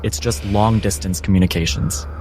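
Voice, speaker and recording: A man narrating a cinematic game as game master, telling it in measured, descriptive phrases. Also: lowish voice, steady tone, darkish tone, a little hiss or hum, low-quality recording. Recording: music bed behind the voice.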